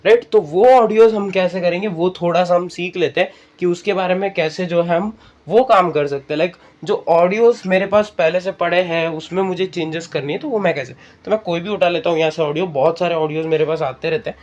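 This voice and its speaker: A man's voice: high voice, fairly quick, darkish tone, dull audio. A man with an Indian accent hosting a casual step-by-step app tutorial, conversational and often checking in with listeners. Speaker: tutorial host.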